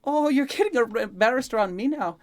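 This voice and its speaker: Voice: medium pitch, animated, fairly quick, voice pushed up, lightly acted. A narrator acts out an older woman caretaker in a fantasy story. She teases and scolds with obvious affection, her raised voice bouncing up and down as she bosses her young charge along. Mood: amused, teasing. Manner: playful, bossy, mock-scolding.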